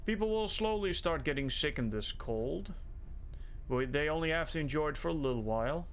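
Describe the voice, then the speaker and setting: A young man with a Dutch accent and a calm, soothing voice, rambling in stream-of-consciousness fashion as he streams and comments on a game. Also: fairly high pitch, normal speed. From Netherlands. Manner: rambling stream-of-consciousness musing, thinking aloud. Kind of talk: casual livestream commentary while playing.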